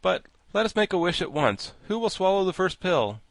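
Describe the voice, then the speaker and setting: Male reader doing storybook scarecrow, raised pitch, animated, wry, genial, talkative, emphatic. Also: normal speed, gravelly, no echo, heavily acted. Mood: wry, reasoning. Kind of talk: storybook character voice.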